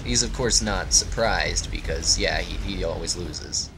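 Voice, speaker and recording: Slow, mock-dramatic male storyteller. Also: deep voice, background noise. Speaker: let's play commentator and storyteller. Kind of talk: improvised mock fairy-tale narration.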